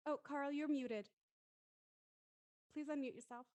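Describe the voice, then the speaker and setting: A woman's voice: high voice, steady tone, unhurried, a little mellow. A woman hosting an online cast discussion, introducing people in an encouraging way. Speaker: host introducing cast members. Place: online group video call.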